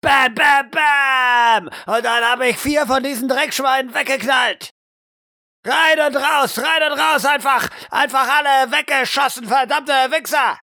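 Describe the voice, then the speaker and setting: A man playing a brash, foul-mouthed soldier in a role-play audio drama, loud, crude and swaggering. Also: extremely high pitch, steady tone, a little slow, dry recording, studio quality, pitched above the performer's own voice, exaggerated voice. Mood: boastful, aggressive.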